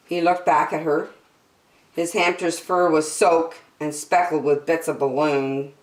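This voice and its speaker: A woman hosting a children's story time, reading a picture book aloud expressively. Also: low voice. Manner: expressive, addresses listeners as friends. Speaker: storytime host. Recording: roomy indoor echo.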